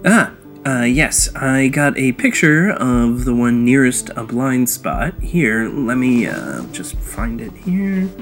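This is a nervous, formal team member in an audio drama. The delivery is slow and halting, full of stammers, with a lively swing in pitch over a music bed. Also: vocal fry, heavily acted. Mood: nervous, unsure. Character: hesitant, formal, anxious team member with magic.

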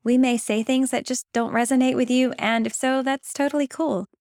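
A woman hosting a podcast, reading a prepared intro in a clear, measured way. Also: high voice, fairly even intonation, a little mellow, dry recording, clean studio sound. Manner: clear, measured reading, laughs easily in chat. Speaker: podcast host. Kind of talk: scripted intro then casual interview chat.

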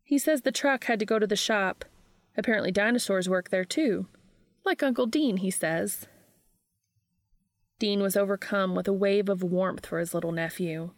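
A woman's voice: on the low side, no room sound. A woman reading a scripted story aloud as an audiobook-style narrator. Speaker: fan fiction narrator.

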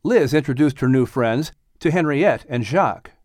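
A man narrating a children's audiobook in an expressive, scripted storytelling style. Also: mid-range voice, moderate pace, dry recording, studio quality.

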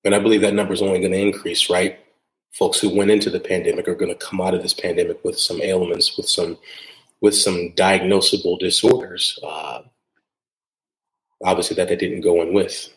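A man's voice: low voice, little pitch movement, a little fast, echoey room, poor audio. A man hosting a solo podcast episode, talking earnestly and repeating phrases for emphasis, often adding "right?". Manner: frequent tag "right?". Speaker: podcast host.

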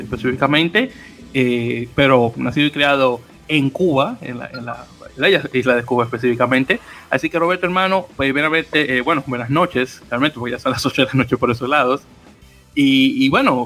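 A Dominican man with a fairly high voice hosts a podcast interview. He speaks formally and warmly welcomes his guest, now and then stumbling and restarting. Each question comes in a steady, polite manner. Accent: Dominican Spanish. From Dominican Republic. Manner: formal, welcoming, slightly halting. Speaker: podcast host.

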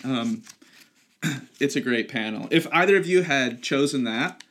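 A man co-hosting a casual podcast, talking with enthusiasm and acting out lines in a lively way. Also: medium pitch, a little slow. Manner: enthusiastic, acts out quoted lines. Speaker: podcast co-host.

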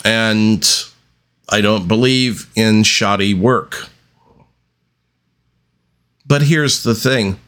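A man hosting a podcast alone, talking off the cuff in a deliberate, halting way with long pauses between phrases. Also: on the low side, a bit bright. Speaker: podcast host. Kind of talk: unscripted solo podcast monologue.

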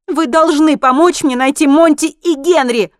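A headstrong, desperate woman in an audiobook, acted by a woman narrator. Her voice is raised high, insistent and urgent, firmly demanding. It is recorded dry and close. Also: racing, no room sound, close to an everyday voice. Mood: urgent, stubborn.

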